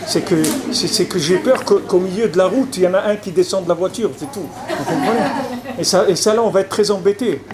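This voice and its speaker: Rabbi lecturing, higher-pitched man. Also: brisk, crowd noise. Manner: repeats words, restarts often, asks "vous comprenez?". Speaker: rabbi giving a public lecture. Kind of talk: conversational religious lecture. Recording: noisy lecture recording.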